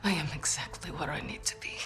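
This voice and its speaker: A woman's voice: low-pitched, fast, fairly bright tone, whispered, faint music behind. An actress playing a bitter, sardonic woman sniper held prisoner in a video game, with a cold delivery. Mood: cold, vengeful. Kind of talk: cinematic video game acting.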